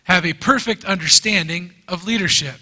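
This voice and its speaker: A man teaching a Bible class in English, speaking earnestly in short phrases and pausing so an interpreter can translate. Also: high voice, bright, forceful tone. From United States. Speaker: guest teacher. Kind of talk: lecture given through an interpreter. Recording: reverberant hall.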